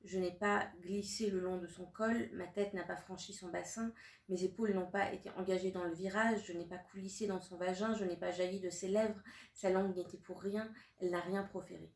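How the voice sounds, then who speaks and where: A woman in her thirties from Russia, a native Russian speaker, reading her own poetic prose in a measured, deliberate way with long pauses. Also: fairly low pitch, steady tone. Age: 30s. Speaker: author. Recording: roomy sound from a distant mic.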